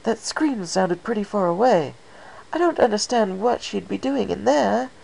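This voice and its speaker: Man putting on a high, urgent female unicorn pony. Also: expressive, normal speed, gravelly, a little mellow, exaggerated voice, low-quality recording. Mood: frantic, worried. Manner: urgent, high-pitched exclaiming.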